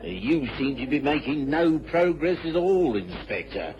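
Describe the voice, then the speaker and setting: A man's voice: medium pitch, sing-song, measured pace, darkish tone, a little hiss or hum. A man playing a cantankerous, complaining old father in a radio drama. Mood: grumbling, indignant. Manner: grumbling. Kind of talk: BBC radio drama acting. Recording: muffled old radio recording, crowd behind.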